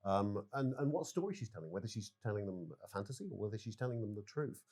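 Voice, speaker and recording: A man explaining a game as its developer in an interview, halting and repeating words as he goes. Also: on the low side, lively intonation, mellow.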